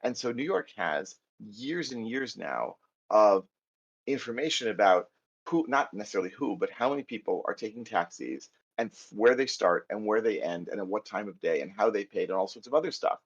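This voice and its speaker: A middle-aged American man with a husky, fairly low voice runs a live coding lesson. He talks in a chatty, self-deprecating way and thinks aloud while typing. The sound is muffled, lo-fi call audio. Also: lively intonation, moderate pace. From United States. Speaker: programming instructor.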